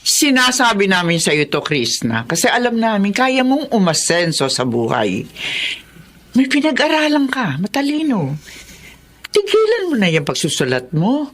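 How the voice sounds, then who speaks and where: A woman's voice: mid-range voice, wildly swooping pitch, moderate pace. An actress playing a pushy but caring older mother who lectures her son. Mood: coaxing. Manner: coaxing, lecturing.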